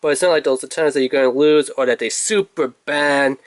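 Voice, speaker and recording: A man's voice: fairly high pitch, a little fast. A man giving a casual product review in an informal, rambling way, thinking aloud as he goes.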